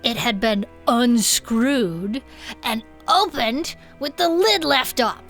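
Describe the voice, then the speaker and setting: A woman's voice: fairly high pitch, lively intonation, slow pace, fairly bright tone, soft background music, full character voice. A woman playing a chipper, easily sidetracked cryptid-club co-host who reads case reports and banters. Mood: earnest, narrating. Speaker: podcast co-host.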